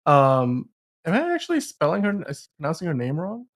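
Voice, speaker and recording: A man's voice: fairly high pitch, wildly swooping pitch, normal speed. Man chatting casually, rambling and enthusiastic. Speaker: podcast co-host. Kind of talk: casual film and TV discussion.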